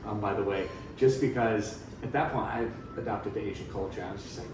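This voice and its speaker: A man's voice: on the low side, a little fast. A man in his thirties who grew up in Thailand, chatting as a missionary guest with lots of fillers. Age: 30s. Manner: relaxed, rambling with frequent fillers. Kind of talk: casual storytelling. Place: room in Thailand. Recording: echoey room, distant mic, noisy.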